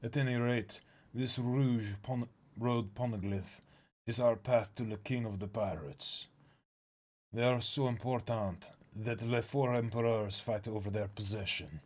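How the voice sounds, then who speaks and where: A man's voice: medium pitch, fairly even intonation, measured pace, a little mellow, natural voice. A man voicing a pompous male knight who drops in French words, reading formally with some stumbles.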